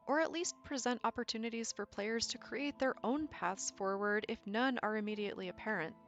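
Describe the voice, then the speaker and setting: A woman's voice: mid-range voice, a bit bright. A woman narrating a scripted video essay in an articulate, explanatory way. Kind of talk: scripted lore commentary narration.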